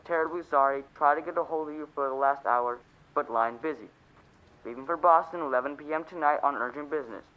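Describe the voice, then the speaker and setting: A man's voice: a little high, narrow, tinny audio, natural voice. A man playing a businesslike, impassive telegram clerk reciting formally in a radio play.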